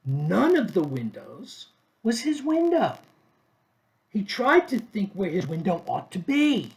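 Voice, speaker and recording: A man reads a children's storybook aloud at an unhurried pace. His pitch rises and falls in a lively way, and he leaves pauses between short phrases. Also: rough recording. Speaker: storybook reader.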